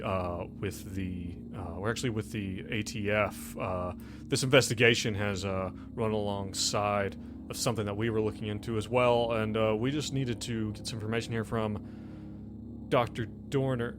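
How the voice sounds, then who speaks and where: A man's voice: lowish voice, animated, moderate pace, music in the background, lightly acted. A man playing a guarded, officious federal agent in a tabletop game. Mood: formal, guarded.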